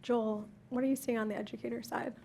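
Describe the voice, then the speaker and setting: A woman's voice: a little high, steady tone, fast talker, gravelly, mellow. A woman moderating a panel, asking brief, friendly questions. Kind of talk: panel discussion.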